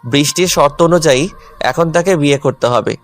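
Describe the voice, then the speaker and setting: Man acting a stubborn, wounded husband, highish voice. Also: normal speed, close to an everyday voice. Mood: cold, hurt. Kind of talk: dramatised audio love story. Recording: music under the voice.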